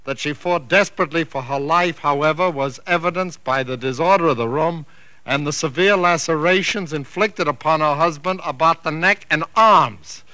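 A man's voice: a little high, moderate pace, bright, forceful tone, no echo, low-quality recording. An actor playing an earnest, uneasy male rental agent in a 1940s radio drama, with an insistent manner.

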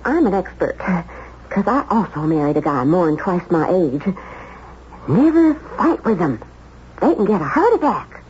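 A woman's voice: on the low side, very animated, measured pace, a little mellow. An actress playing a brassy, meddling cleaning woman in a 1970s radio drama, slangy and blunt as she hands out advice. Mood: nosy, knowing. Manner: slangy, blunt, wheedling know-it-all. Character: brassy, streetwise, meddling cleaning woman, woman married to an older man. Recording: dull vintage radio recording, faint noise.

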